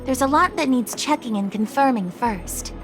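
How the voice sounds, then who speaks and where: A woman's voice: high-pitched, expressive, over music. A woman playing a polite, dutiful official in a video game, speaking in a formal, careful, helpful way. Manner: formal, measured, courteous.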